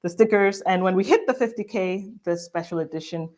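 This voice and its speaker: A woman's voice: medium pitch, fairly quick, a little mellow. Bubbly woman reading viewer questions. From Guatemala. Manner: bubbly, laughs often. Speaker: co-host. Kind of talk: casual livestream chat, reading viewer questions.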